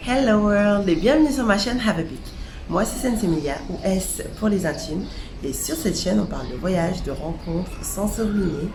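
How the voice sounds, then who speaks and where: A woman's voice: medium pitch, animated, normal speed. A woman host giving an upbeat channel intro that speaks straight to viewers. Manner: upbeat, direct address to viewers. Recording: echoey room with music. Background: music.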